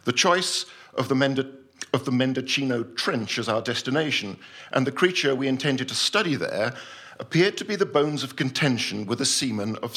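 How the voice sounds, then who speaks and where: Man playing a pompous, husky scientist-explorer. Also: mid-range voice, sharp tone, full character voice. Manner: pompous, formal, deliberate, theatrical. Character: pompous, self-deluded male scientist-explorer dictating a voyage report. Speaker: actor reading a story on stage. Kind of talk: dramatic first-person short story reading at a live night.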